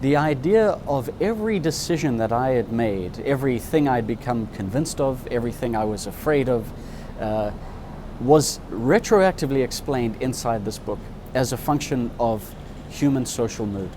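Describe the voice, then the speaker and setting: A man's voice: mid-range voice, expressive, normal speed, noisy background. A man in his fifties from Zimbabwe, telling his life story in an interview in a candid, reflective way. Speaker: interviewee, subscriber.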